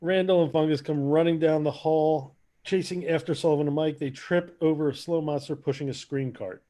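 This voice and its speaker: A man's voice: on the high side, fairly even intonation, brisk, mellow. A man narrating stage directions in a casual scripted read-through.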